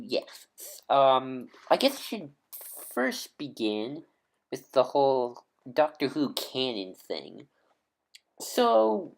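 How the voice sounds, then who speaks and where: A man talking casually as a video host, an enthusiastic fan who rambles with lots of ums and corrects himself mid-sentence. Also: medium pitch.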